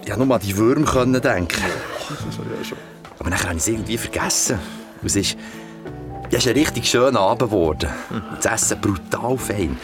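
A young man played by a male actor shares a creepy date story in a Swiss German audio drama. His voice sits fairly low and he talks in confiding, slangy colloquial speech. Clear music plays behind him. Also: animated, brisk, lightly acted. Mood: confiding, reminiscing.

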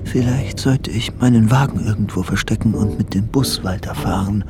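A man's voice: lowish voice, normal speed, noisy background, close to an everyday voice. An actor playing an obsessive, deluded young man in love in a radio play, intimate and brooding, often murmuring to himself. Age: young adult. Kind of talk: radio play acting, interior monologue. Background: music.

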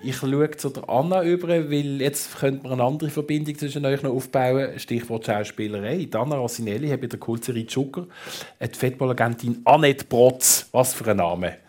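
A man's voice: medium pitch, moderate pace, darkish tone. A man with a Swiss German accent hosting a conversational radio interview, prompting his guests with enthusiasm. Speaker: radio talk show host.

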